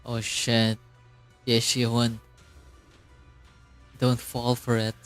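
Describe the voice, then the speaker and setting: A man with a mid-range voice gives casual, live commentary on a game's story. He is informal and exclamatory, thinking aloud as he goes. Soft music plays under him. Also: medium pitch, monotone, drawn-out words. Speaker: streamer reacting to a game story.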